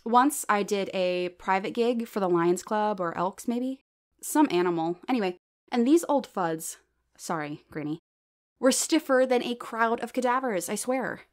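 A woman's voice: medium pitch, expressive, a little slow, lightly acted. A woman as a perky, swooping comedienne. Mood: upbeat, gushing. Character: perky, sincere, excitable female stand-up comic. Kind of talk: audio drama dialogue.

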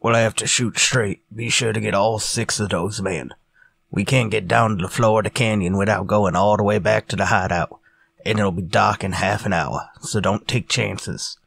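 An actor playing a ruthless, menacing outlaw gang boss in a radio western, with a gravelly, raspy voice and commanding manner. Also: male voice, lowish voice, fairly even intonation, moderate pace, a bit bright, exaggerated voice. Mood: cold, menacing. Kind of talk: old-time radio western acting.

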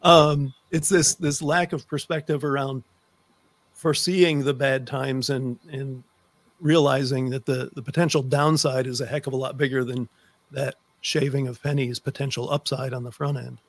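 A man's voice: medium pitch, fairly even intonation, normal speed, no room sound. A middle-aged man hosting a conversational interview, speaking close to a desk microphone on a video call. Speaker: host. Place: book-lined room indoors, on a video call.

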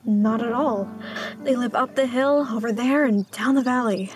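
Woman playing a hesitant, higher-voiced small-town schoolteacher. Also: normal speed, close to an everyday voice. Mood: uneasy, guarded. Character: reserved, uneasy female small-town schoolteacher. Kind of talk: audio drama dialogue. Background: music.

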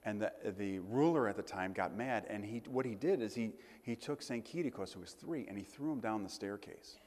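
A middle-aged man, a priest, giving a conversational sermon in a church, often pausing with fillers and restarting phrases. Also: lowish voice, a little mellow. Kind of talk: sermon to a congregation. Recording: long church reverb.

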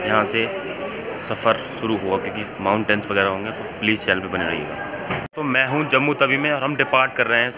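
A man in his twenties talking casually to his phone as a travel vlogger. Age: 20s. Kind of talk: casual vlog narration to camera. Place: train doorway and railway platform. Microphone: phone at arm's length. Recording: loud train rumble, dull phone audio. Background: loud train and station noise.

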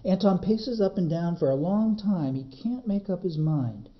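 A man reads a children's story aloud like a librarian at story time. His pitch moves up and down a lot, with dramatic, measured phrasing. The sound is a little muffled. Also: expressive. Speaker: librarian reading a children's book. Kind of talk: expressive storybook narration.

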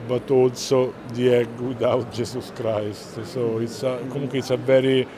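A middle-aged man with an Italian accent explaining artworks to visitors, pausing often to search for words. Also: medium pitch, flat delivery. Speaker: art gallerist presenting works. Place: large indoor art fair hall. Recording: busy hall crowd noise.

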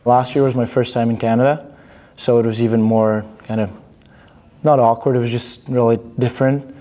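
A young man from Bulgaria speaks in a mellow, warm voice, pausing with fillers as he answers questions in a studio. His pitch sits in a middling range. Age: young adult. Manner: relaxed, halting with fillers and restarts. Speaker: student athlete interviewee. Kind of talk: casual interview answers. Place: studio in front of a banner.

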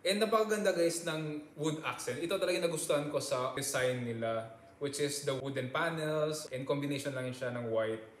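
A man's voice: a little high, fairly quick, echoey room, a little hiss or hum. A young man casually talking viewers through his home on a vlog, upbeat and chatty, seated on a sofa facing a laptop camera. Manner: upbeat, addresses viewers as guys. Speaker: vlogger. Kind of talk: casual chat mixing Tagalog and English. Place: living room, seated on a sofa.

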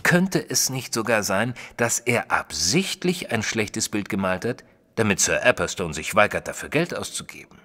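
An actor playing a thoughtful detective's companion who narrates an audio drama in a measured, careful way. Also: male voice, on the low side, big pitch swings, bright, forceful tone, big-room sound, heavily acted. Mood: reasoning. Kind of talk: scripted first-person audio drama narration.

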